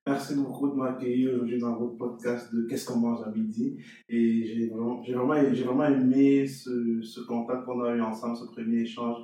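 Young man, earnest guest, steady flat delivery, distant echoey room sound. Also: medium pitch, moderate pace. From Cameroon. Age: young adult. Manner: earnest, repeats words while thinking. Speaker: podcast guest, entrepreneur. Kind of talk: conversational interview.

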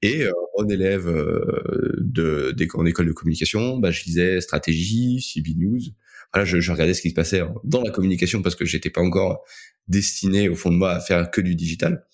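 Low-pitched young man, hesitant storyteller. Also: fairly quick. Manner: hesitant, often repeating words. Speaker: interview guest, co-founder of a digital media outlet. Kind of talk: casual interview storytelling.

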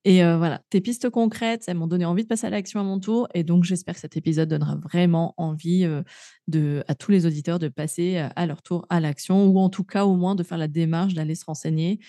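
Woman from France, podcast host; dark gravelly tone, chatty with lots of euh and restarts. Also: fairly low pitch, steady tone, a little fast, no echo, studio quality. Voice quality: frequent fillers and restarts, laughs easily. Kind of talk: casual interview, then outro to listeners.

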